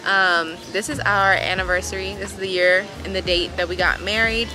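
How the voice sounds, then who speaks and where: A young woman talks to her phone camera at arm's length, held out in front of her at a sunny outdoor resort. Her voice sits at an ordinary middle pitch, bubbly and chatty, and she laughs easily. Music plays clearly underneath her. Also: fairly even intonation, moderate pace. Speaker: vlogger. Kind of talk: casual travel vlog chat.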